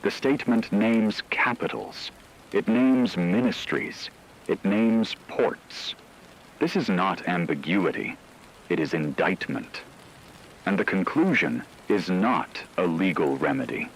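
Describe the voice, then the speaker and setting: Solemn male emergency radio anchor, played by a man, low register, measured emphatic delivery, faint noisy ambience. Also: lively intonation, exaggerated voice. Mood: grave, ominous. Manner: solemn, deliberate, short emphatic sentences. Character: grave male radio news anchor of a fictional war. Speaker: news anchor. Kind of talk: scripted emergency radio broadcast narration.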